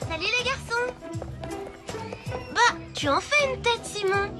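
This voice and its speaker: A woman playing a kind, friendly, curious schoolgirl in a cartoon dub, speaking in an expressive, natural way. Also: extremely high pitch, unhurried, over music. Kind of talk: animated series dubbing.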